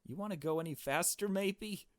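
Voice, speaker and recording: A man's voice: high-pitched, moderate pace, no room sound, pitched above the performer's own voice, natural voice. Casting: male reader as impatient young hothead for a visual novel, brash and sarcastic, swearing freely, dry recording. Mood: exasperated. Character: hot-tempered, foul-mouthed, impatient young male accomplice.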